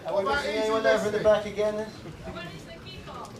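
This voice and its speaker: Brisk jokey male moderator. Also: high voice, lively intonation, heavy background noise. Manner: brisk, jokey, calling on questioners. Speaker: press conference moderator. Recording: echoey hall, distant mic, crowd.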